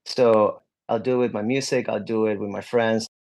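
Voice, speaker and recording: Man, young adult, low voice, rambling, lots of "like", candid interview guest. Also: fairly even intonation, normal speed, a little mellow, low-quality recording. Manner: rambling, many "like" fillers and restarts. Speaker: guest musician.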